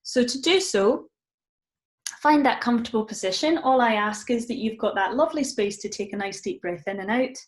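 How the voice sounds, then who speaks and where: Gentle Scottish woman leading mindfulness. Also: fairly high pitch, expressive, moderate pace. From Scotland. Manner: gentle, warm and encouraging, with frequent ums. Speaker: mindfulness coach. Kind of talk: live-streamed guided mindfulness session.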